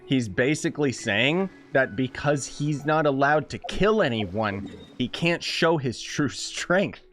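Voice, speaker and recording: A man hosting a let's-play video and commenting on the game in an excitable, jokey way, often breaking into exclamations. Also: mid-range voice, takes it slowly. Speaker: gaming video host.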